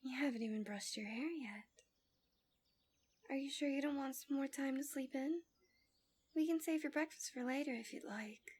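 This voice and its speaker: Woman playing a soft, breathy, coaxing villainess. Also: high voice, moderate pace, long pauses, lightly acted. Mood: tender, doting. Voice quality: soft, breathy, often dropping to a whisper. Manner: soothing, doting, teasing. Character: gentle, coaxing, subtly manipulative fantasy villain captor, woman. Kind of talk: soft intimate ASMR roleplay.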